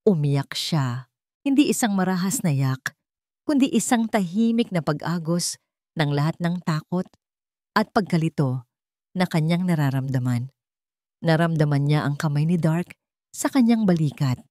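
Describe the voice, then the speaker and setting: A Filipino woman reads a dramatic love story as its narrator. Her voice sits on the low side and is recorded close and dry. She tells it in a measured, emotive way. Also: expressive, warm tone, dry recording.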